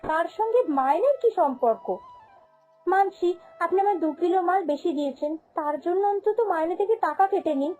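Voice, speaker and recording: A woman's voice: extremely high pitch, expressive, a little fast, dark tone, faint music behind, poor audio. A woman voicing a humble, honest young female shop servant in a cartoon, speaking earnestly and plaintively. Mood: indignant. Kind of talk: cartoon fairy-tale voice acting.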